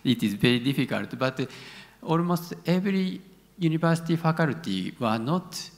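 Halting, creaky Japanese-accented man in a hall. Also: fairly high pitch, animated. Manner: halting, searching for words. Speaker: conference presenter, university academic. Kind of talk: answering questions after a conference talk. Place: large conference hall stage. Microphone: gooseneck podium microphone. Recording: reverberant large auditorium.

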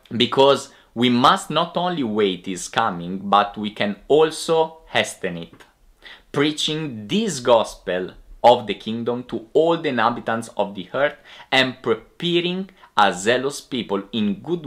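A man in his twenties preaches with an Italian accent. He is a native Italian speaker addressing the camera as an evangelist, at an unhurried pace with an average pitch. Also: a bit bright. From Italy. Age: 20s. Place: indoor room with bookshelves.

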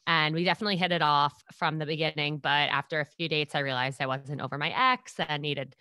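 A woman's voice: deep voice, fairly even intonation, brisk, pushed and bright, smooth, dry recording. A woman in her twenties from New York, a podcast guest telling stories in casual chat and acting out what people said. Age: 20s. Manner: bubbly storyteller, acts out quotes.

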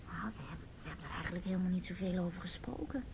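A woman playing a hesitant, nervous teenage schoolgirl being questioned in a Dutch radio drama. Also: creaky. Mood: defensive. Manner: hesitant, halting. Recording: noisy old radio drama recording, rumble.

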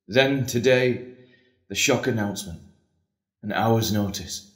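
A man playing an earnest, patient priest hearing confession in an audio drama, measured and consoling. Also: on the low side. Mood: solemn, patient. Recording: echoey room.

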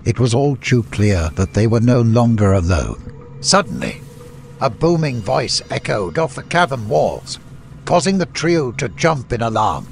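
A man's voice: mid-range voice, very animated, unhurried, creaky, slight background noise. A man reading scripted fantasy story narration as an audiobook narrator.

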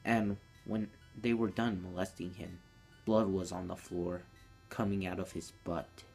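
A man's voice: low voice, steady tone, unhurried, vocal fry, a little mellow, soft background music. A teenage young man telling a story casually to camera in a small room indoors, as a vlogger.

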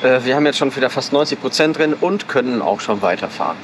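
A man's voice: medium pitch, expressive, quick pace. A man chatting casually as a passenger in a car, explaining things along the way. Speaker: car vlog co-host, passenger. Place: inside a moving car. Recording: clear road noise.

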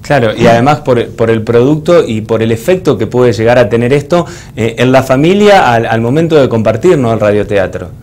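Mid-pitched Argentine male TV interviewer. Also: expressive, fairly quick, hardly any pauses, darkish tone. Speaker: TV presenter, interviewer. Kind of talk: broadcast interviewing. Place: TV studio.

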